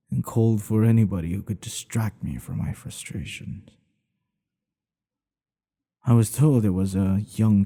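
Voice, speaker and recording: Male actor as devoted king, intimate ASMR roleplay, low gravelly voice, expressive, earnest, halting. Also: in a large hall, exaggerated voice. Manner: hushed, earnest, halting delivery with sighs. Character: tender, devoted male monarch hiding a secret lover.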